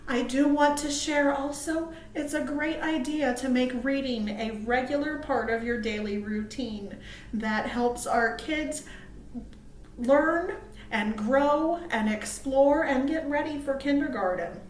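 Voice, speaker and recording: A woman reading aloud to small children as a storytime host, cheerful and expressive. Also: sits high, slow pace. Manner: cheerful, expressive, asks listeners questions. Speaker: children's librarian hosting storytime. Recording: roomy, echoey sound.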